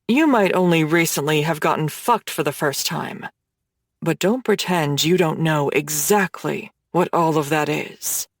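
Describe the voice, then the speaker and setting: A woman voicing a dominant, smug man who issues commands in a low, slow, teasing way. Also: no echo, clean studio sound. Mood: smug, commanding.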